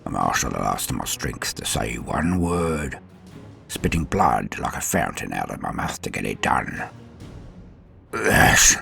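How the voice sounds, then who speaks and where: An extremely deep, heavily creaking voice of a battle-worn, dying sergeant as acted by a man. He is stoic and proud, halting and solemn, swinging between murmur and force in a sci-fi audio drama over music. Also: big pitch swings, bright, forceful tone, heavily acted. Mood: weak, awed. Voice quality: very deep gravelly voice. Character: dying veteran space-marine sergeant, stoic, proud, dutiful, centuries old. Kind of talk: scripted first-person audio drama monologue.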